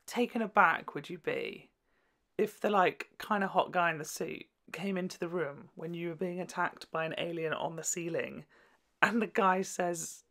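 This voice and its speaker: A woman hosting a video, giving casual, gushing and expressive commentary in a campy way. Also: fairly low pitch, moderate pace. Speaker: YouTube host reviewing a children's TV show.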